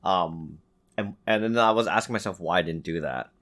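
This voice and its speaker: A man's voice: low-pitched, normal speed, a bit bright. A man co-hosting a podcast, talking casually about video games. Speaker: podcast co-host.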